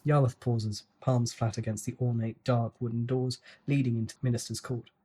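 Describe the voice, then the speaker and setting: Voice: mid-range voice, dark tone, breathy edge. A narrator giving a dramatic, scripted reading of a novel.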